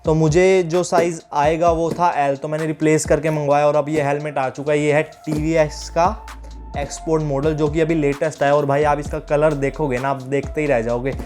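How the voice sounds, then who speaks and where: A man's voice: fairly high pitch, little pitch movement, normal speed, darkish tone. A man chatting casually to viewers as a motovlogger, chummy and slangy, mixing in English words. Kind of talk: casual vlog chat to viewers. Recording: music playing behind the voice.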